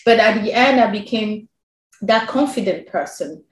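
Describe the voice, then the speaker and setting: A woman with a non-native English accent, a working mother telling her own story as a podcast guest, animated and quick to laugh. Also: medium pitch, reverberant. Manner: animated, laughs often, quotes herself. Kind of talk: candid personal storytelling.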